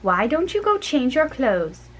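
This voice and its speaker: A woman voicing a calm, kindly grandmother who speaks gently and reassuringly in a storybook reading. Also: high voice, unhurried, full character voice. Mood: gentle.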